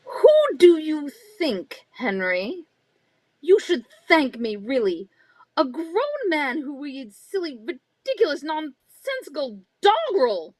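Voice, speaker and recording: A woman's voice: sits high, wildly swooping pitch, takes it slowly, darkish tone, no echo, exaggerated voice, rough recording. A woman playing a cold, cutting, condescending middle-aged wife in a live radio drama reading. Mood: scornful. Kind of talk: live reading of an old-time radio script.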